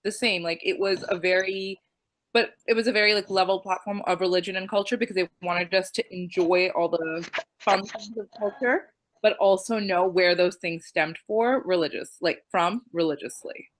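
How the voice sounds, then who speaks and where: A woman's voice: mid-range voice, moderate pace. A woman who grew up in the United States, talking as a guest on a panel in a loose way with many ums and likes. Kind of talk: casual panel talk.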